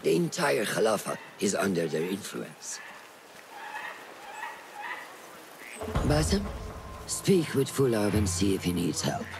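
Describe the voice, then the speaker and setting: An actor playing a stern, authoritative older master assassin who instructs a pupil in a video game cutscene. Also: male voice, fairly low pitch, big pitch swings, normal speed, vocal fry, noisy background. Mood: grave.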